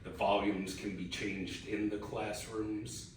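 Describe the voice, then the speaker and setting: A man's voice: lowish voice, little pitch movement, slow. A man casually asking questions as a trainee at a training session. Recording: very echoey room, distant mic, faint noise.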